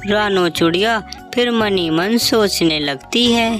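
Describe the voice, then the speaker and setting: A woman's voice: medium pitch, takes it slowly. A woman narrating a scripted children's story as the storyteller. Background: loud music.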